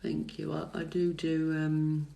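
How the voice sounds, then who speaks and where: A middle-aged woman, a psychic tarot reader chatting on a livestream and answering comments in a soft, calming voice, hesitant with many fillers and restarts. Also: sits low, slow pace, gravelly. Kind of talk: casual livestream chat answering viewer comments. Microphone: phone livestream mic.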